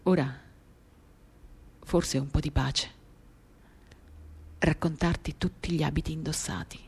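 A woman giving an intimate, measured dramatic reading of a literary text, pausing between short phrases. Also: deep voice, fairly even intonation, a little mellow. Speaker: reader of a novel. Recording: guitar music under the voice. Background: acoustic guitar.

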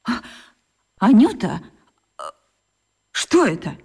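A woman's voice: a little high, sing-song, slow pace, creaky, darkish tone, breathy edge, heavily acted. An actress voicing a worried, strict mother in a children's radio play, speaking in an insistent, anxious way. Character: worried, strict mother questioning her daughter.

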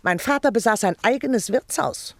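Scolding wife, indignant, self-pitying, theatrical radio drama, gravelly creak, dry close sound. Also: female voice, a little high, expressive, normal speed, no room sound. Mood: scornful, scolding. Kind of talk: theatrical German radio play acting.

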